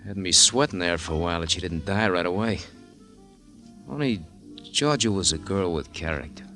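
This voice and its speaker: A smug American crook from an old-time radio crime drama, slangy and needling. His low voice is bright and forceful, and it swings widely as he talks. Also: animated, normal speed, soft background music. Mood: smug, sly. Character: cocky, sly male murderer and crook, bribing a cop.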